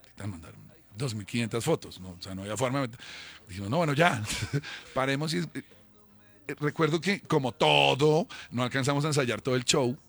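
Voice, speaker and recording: A middle-aged Colombian man tells stories in an interview, animated and emotional, swearing freely and acting out what people said. His voice is bright and forceful. Faint music plays behind him. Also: medium pitch, fairly quick, gravelly. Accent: Colombian Spanish. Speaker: singer and band member. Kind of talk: casual interview storytelling.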